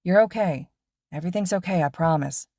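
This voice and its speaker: A woman's voice: on the low side, a little fast, mellow, dry recording, clean studio sound. A woman voicing a laid-back, cocky young man who speaks in an easygoing, soothing way.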